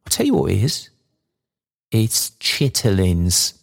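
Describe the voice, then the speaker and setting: A man's voice: low-pitched, huge pitch swings, slow, gravelly, slightly rough, full character voice. A man voicing a kindly, poor old working father in a storybook, musing cheerfully in folksy, rambling speech. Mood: musing, savouring. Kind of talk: storybook character dialogue.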